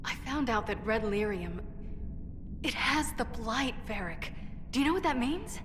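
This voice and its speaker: A woman's voice: on the high side, lively intonation, unhurried. Echoing reverb, background noise, brash female dwarf smith, blunt, wry, defensive, game cutscene. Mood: defensive, earnest. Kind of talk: video game cutscene acting.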